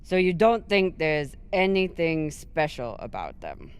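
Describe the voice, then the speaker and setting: A man playing a steady, reassuring, combat-seasoned spaceship crewmate in a video game, earnest and probing. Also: fairly high pitch, unhurried, clean tone. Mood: probing, friendly. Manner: earnest, probing, lightly teasing. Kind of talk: dramatic video game dialogue.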